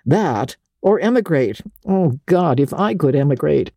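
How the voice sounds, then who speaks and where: A woman's voice: deep voice, expressive, measured pace, dark tone, no echo, exaggerated voice. A woman voicing a bitter, impassioned male intellectual in a scornful, exhorting dramatic reading of a classic novel. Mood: bitter, yearning.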